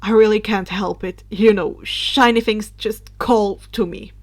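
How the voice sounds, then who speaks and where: A woman voicing an earnest, good-natured teenage boy who is a Dementor, breathy and sheepish, in a story reading. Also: medium pitch, steady tone, a little slow, darkish tone, dry recording. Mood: sheepish, amused.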